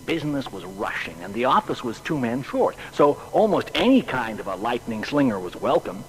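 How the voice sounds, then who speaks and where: A man's voice: medium pitch, moderate pace, natural voice, rough recording. An actor playing a folksy old-time telegraph man, telling an anecdote in a colloquial way for an audio drama. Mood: amused recollection. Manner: animated, colloquial.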